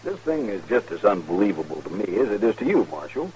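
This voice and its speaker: Low-voiced frontier bank manager. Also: male voice, big pitch swings, quick pace, darkish tone, low-quality recording. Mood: earnest, dismayed. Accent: American. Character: earnest, regretful small-town frontier bank manager, a man. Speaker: radio drama actor. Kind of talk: 1950s radio western acting. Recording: old radio recording with faint noise.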